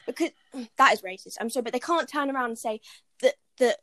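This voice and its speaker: A woman's voice: sits high, expressive, fast, a bit bright, no echo, clean studio sound. A young woman in a casual discussion who talks emphatically and with indignation, pressing her point with pointed questions. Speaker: discussion participant.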